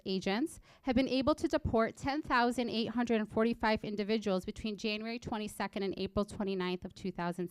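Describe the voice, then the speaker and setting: A nineteen-year-old woman from Egypt, raised in Illinois, reading a prepared personal story in an earnest, measured way at a college library event. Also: on the high side, smooth, dry recording. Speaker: student speaker.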